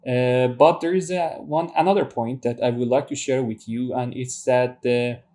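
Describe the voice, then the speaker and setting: A man in his thirties from Iran with a Persian accent, Persian his native tongue, teaching a robotics tutorial with frequent pauses and restarts. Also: mid-range voice, steady tone, warm tone. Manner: hesitant, many fillers and restarts. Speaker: robotics instructor. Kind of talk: screen-share tutorial lecture.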